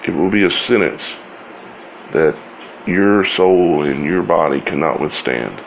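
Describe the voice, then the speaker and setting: Male host for informal scripture commentary; low-pitched, heavy vocal fry, halting phrasing, some background noise. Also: animated, poor audio. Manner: halting, broken phrases with long pauses. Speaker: video host.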